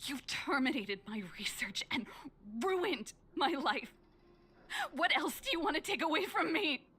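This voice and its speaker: A woman's voice: high-pitched, animated, a little slow, pushed and bright, exaggerated voice. A woman voicing an emotional, fiery young woman scientist in a video game. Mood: anguished. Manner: emotional, pleading.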